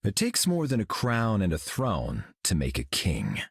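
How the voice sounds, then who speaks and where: A solemn golden cosmic hero for a video game, his speech calm and grave. The voice sits very low with a husky grain and a lively, rolling pitch. It is recorded close and dry. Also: a little slow, no echo, full character voice. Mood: serene.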